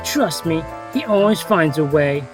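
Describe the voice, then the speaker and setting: A man's voice: fairly high pitch, sing-song, unhurried, over music. A man playing a grumpy, devious diesel engine in a children's railway story, sarcastic and boastful in manner.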